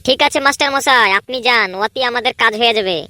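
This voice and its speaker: A woman's voice: a little high, fast talker, bright, forceful tone, dry recording. A woman voicing a cocky, scheming village schoolboy in a comedy cartoon, bossy and colloquial.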